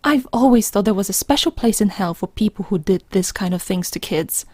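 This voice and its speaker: A woman playing a loyal, protective friend in an audio drama, speaking with gentle firmness in a soft, breathy voice. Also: medium pitch, animated, fairly quick, no echo, clean studio sound, lightly acted. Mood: indignant, protective. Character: protective, loyal female friend, steady and consoling.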